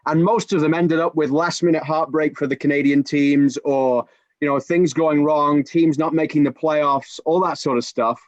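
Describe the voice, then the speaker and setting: A man in his forties, a soccer commentator, telling an animated story with many restarts on a video-call podcast. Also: fairly high pitch, normal speed, dry recording. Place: home room with bookshelves. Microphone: video call webcam. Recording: dull, dry video-call audio.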